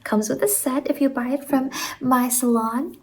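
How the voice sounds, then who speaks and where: A woman playing a whimsical, reassuring salon stylist in an ASMR roleplay, speaking softly and gently on a close microphone and often slipping into whispers. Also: high voice, a little hiss or hum. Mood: gentle, reassuring. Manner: gentle, reassuring, drawn-out words. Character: whimsical, reassuring female fever-dream hair salon stylist.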